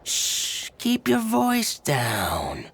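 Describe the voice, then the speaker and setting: The furtive, conspiratorial fixer behind a market counter in a fantasy game, slowly offering goods of doubtful origin in wildly rising and dipping tones. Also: male voice, on the high side, huge pitch swings, gravelly, fairly bright tone, slight background noise, exaggerated voice. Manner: conspiratorial, sly. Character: sly, secretive shady dealer, eager for rich clients. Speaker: game character voice actor. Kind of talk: video game dialogue acting. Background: music.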